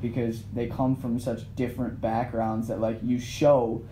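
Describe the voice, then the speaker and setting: A man's voice: mid-range voice, level tone, normal speed. A man talking candidly as a podcast guest, emphatic and casual, swearing now and then. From United States. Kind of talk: casual conversation. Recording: echoey room with faint noise.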